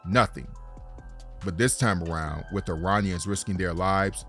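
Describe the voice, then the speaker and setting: A man hosting a podcast, narrating a scripted script in an earnest, steady way. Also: sits low, unhurried, soft background music. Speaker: podcast host. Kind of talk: scripted narration and casual reactions.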